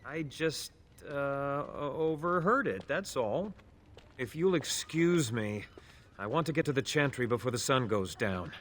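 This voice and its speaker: An actor playing a nervous, evasive hired spy in a video game, defensive and stammering. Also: male voice, mid-range voice, animated, measured pace, fairly bright tone.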